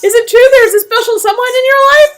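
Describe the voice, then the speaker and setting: Woman putting on a high, gushing celebrity reporter. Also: quick pace, loud music behind the voice. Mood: gushing, giggly. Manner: gushing, breaking into giggles. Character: gushing, excitable female celebrity red carpet reporter. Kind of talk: playful game dialogue reading.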